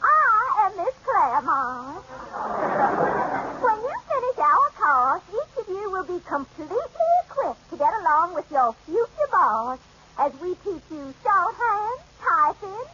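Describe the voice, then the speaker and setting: A woman's voice: extremely high pitch, very animated, measured pace, exaggerated voice. An actress in a 1940s radio comedy playing a pompous, bossy woman who runs a business school and lectures her students grandly. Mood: haughty. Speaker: secretarial school teacher. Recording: muffled old radio broadcast, faint hiss.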